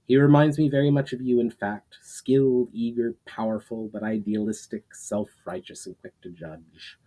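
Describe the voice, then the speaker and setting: A man voicing a wise, mysterious man in a storybook, speaking calmly and formally as a knowing old friend. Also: medium pitch, normal speed, natural voice, low-quality recording. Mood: calm, thoughtful.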